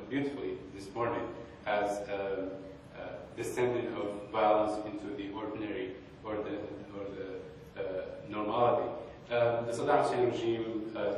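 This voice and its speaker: A man's voice: on the low side, darkish tone. Man, young adult, academic talk, hesitant, far-off mic, faint background hum. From Kurdistan, northern Iraq. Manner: hesitant, with many pauses and restarts. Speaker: conference speaker. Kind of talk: academic presentation. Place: large conference hall. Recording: echoey hall, distant mic.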